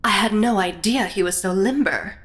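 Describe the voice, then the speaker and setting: A woman's voice: mid-range voice, moderate pace, close to an everyday voice. A woman playing an impatient, arch dinner guest with a dry manner in a comedy audio drama.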